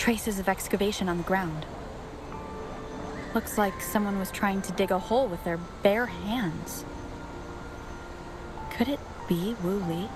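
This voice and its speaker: A woman's voice: medium pitch, unhurried, pauses often, over music, natural voice. A woman voicing an observant, inquisitive explorer companion in a video game. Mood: curious. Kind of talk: video game character dialogue.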